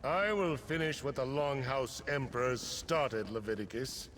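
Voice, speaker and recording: Slow, declaiming fanatical villain played by a man. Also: mid-range voice, fairly bright tone, lightly acted. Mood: grandiose, menacing. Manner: theatrical, declamatory. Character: fanatical male cult high priest, grandiose villain. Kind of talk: video game voice acting. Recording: noisy game effects behind voice.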